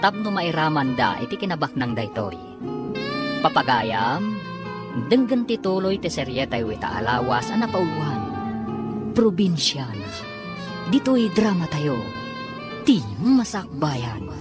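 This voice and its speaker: Woman announcer for a radio soap. Scripted, theatrical, highly animated delivery with music behind. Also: a little slow, long pauses. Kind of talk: dramatic scripted radio narration.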